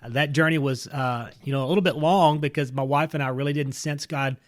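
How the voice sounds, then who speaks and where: A middle-aged man from North Mississippi, an earnest missionary recounting his path in a relaxed interview, with fillers and restarts. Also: on the high side, a little fast. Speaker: missionary and church planter. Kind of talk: casual interview storytelling.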